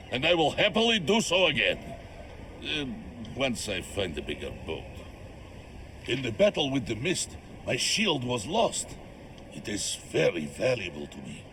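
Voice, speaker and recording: A man's voice: mid-range voice, huge pitch swings, a little slow, noisy background. An actor playing an earnest, big-hearted heroic man in a video game, speaking in a grand, reassuring manner. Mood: determined. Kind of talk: video game character acting.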